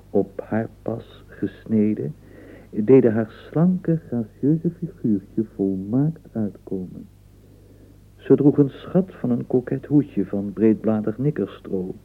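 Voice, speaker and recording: A man narrating a radio drama as a florid storyteller, in a measured, gravelly voice. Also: mid-range voice, exaggerated voice. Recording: narrow, phone-like radio play recording.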